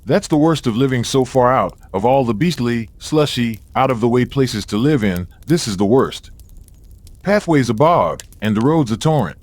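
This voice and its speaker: A man's voice: medium pitch, expressive, moderate pace, darkish tone, no room sound, lightly acted. A man acting a testy, curious old father who blusters and grumbles in a dramatised audio story. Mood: violent grumbling. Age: older.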